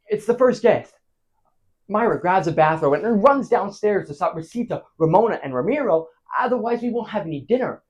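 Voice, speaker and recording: A male actor playing a flustered married man in a theatrical comic monologue, stammering and pausing dramatically as he recounts a disastrous night. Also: a little high. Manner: stammering, dramatic pauses, big emotional swings.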